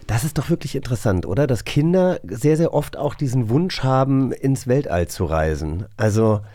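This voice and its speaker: A man with a middling pitch co-hosts a casual talk show. He chats in a loose, rambling way and corrects himself as he goes. The sound is dry and close. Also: expressive, normal speed, mellow, no room sound. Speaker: podcast co-host. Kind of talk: casual chat.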